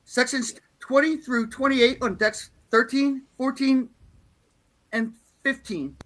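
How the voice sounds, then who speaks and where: A woman acting a brisk, dutiful starship bridge officer in an amateur sci-fi script read. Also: high-pitched, fairly even intonation, fairly bright tone, low-quality recording. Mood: focused.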